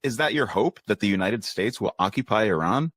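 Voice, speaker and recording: Deep fast-talking male interviewer. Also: expressive, a bit bright, no echo, clean studio sound. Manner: restates the guest's points, stumbling restarts. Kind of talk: talk show interview.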